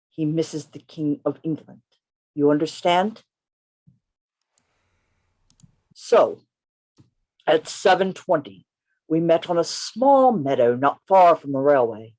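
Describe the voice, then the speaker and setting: A woman playing a bold gentleman adventurer in a radio play, narrating his tale in an emphatic, theatrical way. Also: low-pitched. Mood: resolute. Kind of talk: radio play reading, first-person narration. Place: remote reading over a video call.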